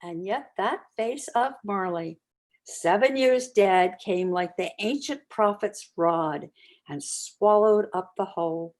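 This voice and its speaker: A woman reading classic literature aloud as narrator, with expressive, deliberate dramatic storytelling. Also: mid-range voice. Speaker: narrator, community reader.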